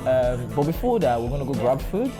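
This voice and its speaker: A man's voice: medium pitch, big pitch swings, a little fast. A man from Nigeria hosting a casual vlog, chatting and asking questions, often eagerly repeating his words. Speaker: host, Afrobeats DJ. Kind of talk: casual vlog chat and interviewing. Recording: music playing behind the voice.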